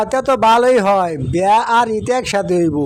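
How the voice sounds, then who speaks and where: A man playing an excitable, teasing village husband in a cartoon story, chatty and emphatic, with a rural Bangladeshi accent. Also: sits high, measured pace, a little hiss or hum. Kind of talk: Bengali cartoon story voice acting.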